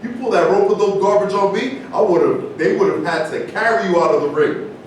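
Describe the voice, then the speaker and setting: A man's voice: high-pitched, brisk, close to an everyday voice. A man reading the part of a boastful, defensive former boxer in a staged play, recorded in a noisy, echoing room from a distance. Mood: boastful, scornful. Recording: echoey noisy room, far from mic.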